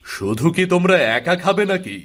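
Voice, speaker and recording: Easygoing cartoon father, acted by a man, highish pitch. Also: very animated, fairly quick, soft background music. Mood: cheerful. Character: easygoing, indulgent village father and husband. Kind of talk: Bengali moral-story cartoon dubbing.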